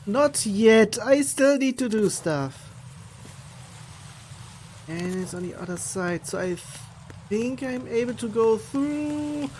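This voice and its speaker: A game streamer giving casual, halting commentary, muttering along while playing. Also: mid-range voice, lively intonation, darkish tone, a little hiss or hum. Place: voice over game audio with music behind.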